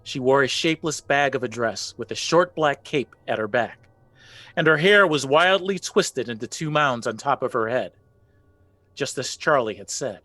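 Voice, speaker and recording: A male storyteller narrating a spooky radio play in a medium register. The delivery is measured and suspenseful, with no room sound around it. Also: medium pitch, lively intonation, sharp tone, no echo. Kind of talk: scripted dramatic narration.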